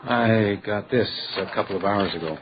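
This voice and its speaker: Actor as a dry house detective, muffled recording. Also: male voice, lowish voice, moderate pace, slight background noise, lightly acted. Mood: guarded, matter-of-fact. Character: dry, guarded male hotel house detective in 1925. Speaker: lead character and first-person narrator. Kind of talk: detective radio drama acting.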